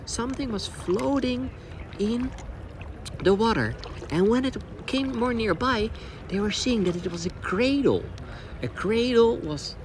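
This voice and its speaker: A man telling stories as a tour guide, in simple, repeated phrases, outdoors on the water. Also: high-pitched. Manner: simple phrasing with deliberate repetition. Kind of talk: storytelling tour commentary. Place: outdoors on a small boat on the water. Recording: clear outdoor noise, water sounds.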